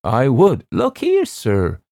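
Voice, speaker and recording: A man's voice: mid-range voice, huge pitch swings, takes it slowly, dark tone, no echo, studio quality, pitched above the performer's own voice, heavily acted. A man voicing a nervous, servile ship's steward, insistent and colloquial, in a storybook reading. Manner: faltering, deferential, colloquial.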